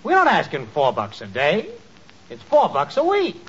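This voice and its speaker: Smooth-talking, persuasive salesman, high animated voice, chummy pitch, muffled 1940s radio comedy. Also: normal speed, heavily acted.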